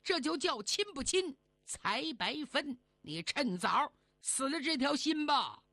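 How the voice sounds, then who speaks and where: A woman's voice: high voice, sing-song, slow, sharp tone, dry recording, studio quality, pitched above the performer's own voice, exaggerated voice. A woman voicing a grumpy, sneering male god in a comic folk tale, scornful, crude and grumbling.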